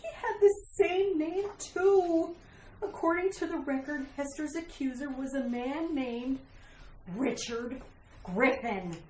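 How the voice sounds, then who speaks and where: A very high-pitched, frantic wife acted by a woman pleads with urgent dread, as in an old horror radio play. She is haunted and desperate to be believed. The room rings around her voice, with a faint hiss of noise. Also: steady tone, measured pace, mellow. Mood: desperate pleading. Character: frantic, haunted wife convinced of a deadly curse. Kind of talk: retro radio horror acting. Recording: roomy sound with faint noise.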